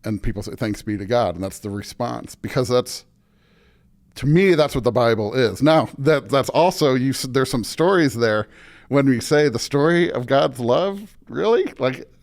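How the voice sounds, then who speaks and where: A man's voice: mid-range voice, lively intonation, moderate pace. An American man, a pastor being interviewed, talking casually and thoughtfully as he searches for words. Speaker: pastor, podcast guest.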